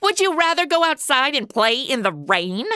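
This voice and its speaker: A woman's voice: high voice, very animated, moderate pace, pushed and bright, clean tone, no echo, clean studio sound, exaggerated voice. An actress playing a brash, carefree young woman in a comedy audio drama, slangy and bossy. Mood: excited.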